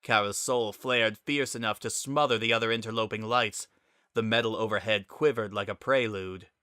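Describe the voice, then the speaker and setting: A man's voice: fairly low pitch, steady tone, normal speed, bright, forceful tone, smooth, dry recording, studio quality. A man reading a scripted story aloud as a dramatic narrator.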